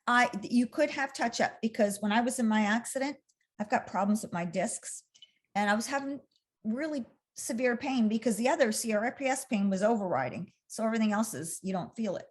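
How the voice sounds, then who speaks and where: A woman's voice: on the high side, animated, fairly quick. A woman in her sixties, a guest on a talk show, telling her story in an earnest, chatty way. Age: 60s. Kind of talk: casual interview chat.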